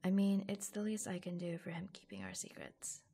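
A woman playing an affectionate, proud and reassuring secret office lover in an ASMR roleplay, speaking softly, breathily and hesitantly, often close to a whisper. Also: lowish voice, sing-song, normal speed, exaggerated voice. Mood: confiding, reassuring. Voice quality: soft, breathy. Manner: hushed, hesitant, confiding.

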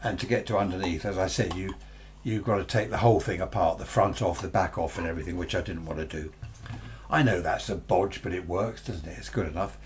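A man's voice: low-pitched, brisk. An older man in his sixties or beyond with an English accent, chatting casually and musing aloud as he reviews gadgets unscripted. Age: 60s or older. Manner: rambling, chatty, muses aloud to himself. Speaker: radio hobbyist presenter. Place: small cluttered indoor radio workshop. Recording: noticeable background noise with hum.

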